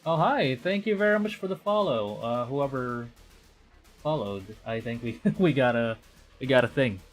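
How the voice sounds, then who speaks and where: A man livestreaming a visual novel, reading it aloud with excitable, dramatic delivery and chatty casual asides. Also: mid-range voice, moderate pace. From Philippines. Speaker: streamer. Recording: music behind the voice. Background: game music.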